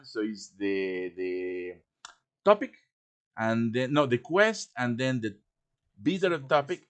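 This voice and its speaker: A man hosts a live tutorial in a casual, improvised way. He has a mid-pitched voice and talks informally, thinking aloud as he works through the steps. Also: fairly bright tone. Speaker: tutorial host. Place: live stream over voice chat.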